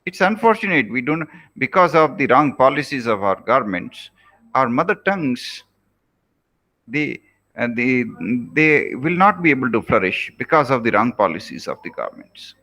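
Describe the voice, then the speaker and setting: An earnest Indian man delivers a lecture in English, his first language being Rajasthani. His voice is bright and moves up and down a lot in pitch, and he urges his listeners by repeating his points. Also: sing-song, moderate pace. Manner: earnest, repeats key phrases, pleading. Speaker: professor giving a lecture. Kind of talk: recorded lecture to students.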